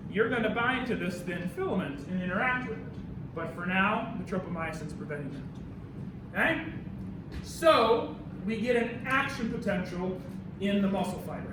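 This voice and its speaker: An American man teaching as a university instructor, informal and theatrical, directing students and quizzing them with questions. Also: sits high. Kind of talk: animated classroom lecture with questions and directions. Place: indoor university classroom during a live demonstration. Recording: echoey room, far mic, noisy.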